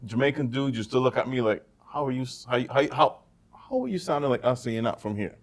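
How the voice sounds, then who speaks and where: Man, caribbean accent, mid-pitched voice, animated storyteller, lots of restarts and repeated words, casual podcast chat. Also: fast talker. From Barbados. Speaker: podcast co-host.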